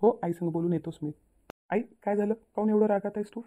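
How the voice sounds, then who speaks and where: A woman's voice: mid-range voice, fairly quick, mellow, natural voice. A woman voicing an apologetic young newlywed son in a cartoon family drama, pleading and explaining himself in a Vidarbha Marathi accent. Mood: concerned, soothing. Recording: tinny phone-like narrow audio.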